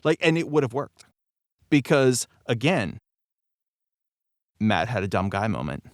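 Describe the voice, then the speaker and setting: A man talking casually as a voice actor on a panel about his work, telling it like an animated storyteller. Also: mid-range voice, measured pace, no echo, studio quality. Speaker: voice actor discussing his role.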